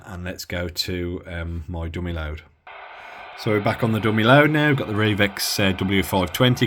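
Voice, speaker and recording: A man from the Midlands demoing a radio at his bench in a casual, halting way with lots of fillers. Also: low voice, fairly bright tone. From Midlands of England. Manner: halting, many fillers and restarts. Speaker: radio hobby vlogger. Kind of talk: casual hands-on demo.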